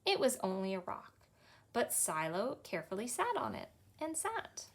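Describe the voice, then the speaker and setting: Lively Canadian woman reading a children's book. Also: on the high side, moderate pace, vocal fry. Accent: Canadian English. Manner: expressive storytime reading, bright and cheery. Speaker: children's librarian. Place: small indoor library room.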